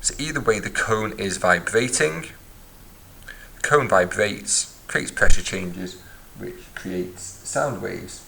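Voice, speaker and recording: A man's voice: lowish voice, slow, vocal fry, bright, forceful tone, a little hiss or hum, rough recording. A man teaching a physics lesson, explaining step by step and recapping as he writes in a notebook. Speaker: science teacher. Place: at a desk, filming a notebook from above.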